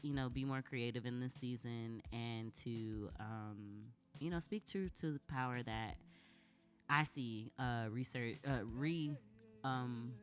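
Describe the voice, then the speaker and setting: A woman's voice: a bass voice, slow pace, soft background music. A woman hosting a community radio show, chatting casually and reflectively, heartfelt and informal as she searches for words.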